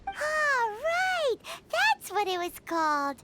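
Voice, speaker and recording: A woman voicing a small, childlike fairy companion in a video game, chatty, naive and excitable, with a squeaky voice. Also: takes it slowly, heavy background noise, exaggerated voice. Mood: excited, surprised. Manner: exclamatory, refers to self in third person. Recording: loud background music.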